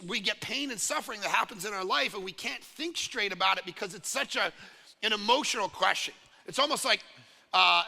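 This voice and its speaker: A man from Canada preaching a sermon as pastor, conversational and animated, with many asides to the congregation. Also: high voice, vocal fry. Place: large church hall. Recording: long reverb of a large church hall.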